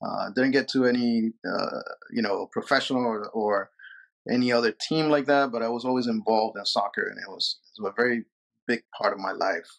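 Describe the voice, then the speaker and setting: A man's voice: on the low side, steady tone, fairly quick, vocal fry, low-quality recording. A man from Peru, a sports lawyer, answering interview questions casually with many fillers and repeated words.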